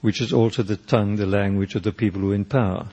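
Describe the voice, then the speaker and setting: A South African man past middle age, his native tongue Afrikaans, speaks in a low, slightly husky voice. He answers questions slowly and reflectively, circling back and restarting his sentences as he searches for words. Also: fairly even intonation, dark tone, muffled recording. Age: older. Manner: thoughtful, halting, many restarts and fillers. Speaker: poet and painter, interview guest.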